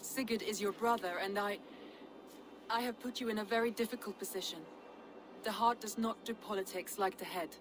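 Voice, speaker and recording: An actress playing an earnest, duty-bound Norse noblewoman in a dramatic game cutscene, speaking in a heartfelt, measured way. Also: mid-range voice, steady tone, close to an everyday voice. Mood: flustered, apologetic.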